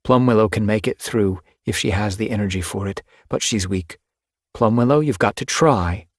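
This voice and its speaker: A man voicing a calm, efficient female medicine cat in an audiobook, speaking briskly and matter-of-factly. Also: fairly low pitch, dry recording, clean studio sound, voice pushed up. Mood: brisk, focused. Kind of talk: audiobook character voice.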